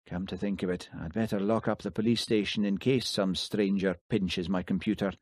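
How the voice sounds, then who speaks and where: A man reading an audiobook, voicing a patient village policeman who asks his questions quietly and politely. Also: sits low, fairly even intonation, moderate pace, no echo, studio quality. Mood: calm. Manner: quiet, level, courteous questioning. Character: calm Scottish village policeman, patient and dry.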